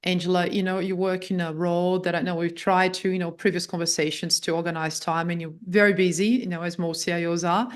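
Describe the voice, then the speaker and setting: Woman host and coach, a lowish voice, affirming and conversational with guests. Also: little pitch movement, a little fast, hardly any pauses. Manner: affirming, loosely phrased questions. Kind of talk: conversational interview hosting.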